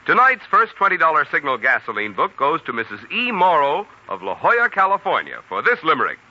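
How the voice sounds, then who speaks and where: A man's voice: on the high side, huge pitch swings, fairly quick, background noise. An American man announcing for a sponsor on 1940s radio in a polished, jovial, projected way. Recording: dull 1940s radio recording, music behind.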